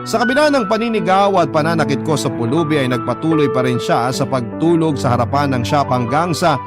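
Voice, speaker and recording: A Filipino man playing a shop owner, narrating his own remorseful story for a radio drama in a measured, confessional way. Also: fairly high pitch, animated, lightly acted. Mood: regretful, confessional. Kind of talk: first-person dramatised narration for radio programme. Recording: loud music bed behind voice.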